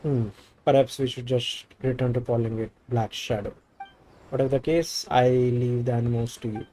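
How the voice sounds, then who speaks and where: Mellow man reading game dialogue. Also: mid-range voice, fairly even intonation, normal speed, slight background noise, rough recording. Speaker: game streamer. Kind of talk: reading game dialogue aloud while playing.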